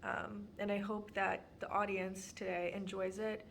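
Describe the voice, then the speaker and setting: A woman's voice: mid-range voice, little pitch movement, unhurried, creaky, slight background noise. A young woman from Texas introducing her student documentary in an earnest, prepared way with frequent ums. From Houston, Texas. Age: young adult.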